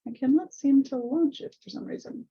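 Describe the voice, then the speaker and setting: A woman hosting an online webinar over a video call, a little hoarse, friendly and full of ums. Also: high voice, a little fast, warm tone. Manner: friendly, many ums and uhs. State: slightly hoarse. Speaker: webinar host. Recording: muffled video call audio.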